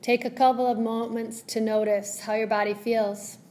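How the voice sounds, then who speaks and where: A woman leading a guided meditation as a yoga teacher, speaking gently and soothingly in measured phrases with long pauses. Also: fairly high pitch, level tone, slight background noise.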